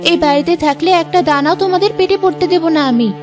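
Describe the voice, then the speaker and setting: Woman voicing a very high, childish gluttonous wife. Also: fast, music in the background. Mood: defiant. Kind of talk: Bengali cartoon dialogue.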